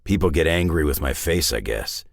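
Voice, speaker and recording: A man voicing a gruff, weary middle-aged superhero brawler for a video game, with a deep raspy growl and terse, dry, folksy tough-guy talk. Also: expressive, moderate pace, no echo. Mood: blunt, world-weary. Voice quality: deep gravelly rasp.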